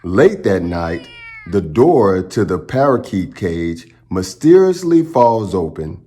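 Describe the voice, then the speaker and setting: A man hosting a children's storytime, speaking to young listeners in an encouraging, expressive way as he chats and reads aloud. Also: low voice, slow. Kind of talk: children's read-aloud and upbeat chat to young viewers.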